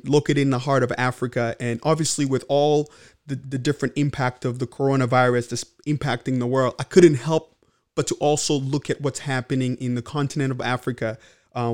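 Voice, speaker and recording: A man's voice: mid-range voice, darkish tone. Earnest man hosting a podcast. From Congo. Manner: earnest, frequent fillers and restarts. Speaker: podcast host. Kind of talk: casual podcast monologue.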